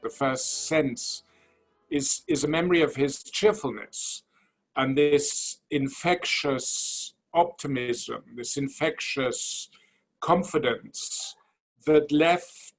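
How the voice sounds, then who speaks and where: Measured man, slightly high voice, slow. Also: soft background music, low-quality recording. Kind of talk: reflective tribute to a colleague.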